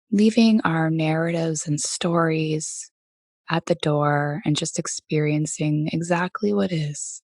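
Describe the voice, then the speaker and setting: A woman guides a meditation at a very slow, unhurried pace. Her voice is soft and sits a little low. She leaves gentle pauses between phrases, and the recording is dry and close. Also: no echo. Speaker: meditation teacher leading a body scan.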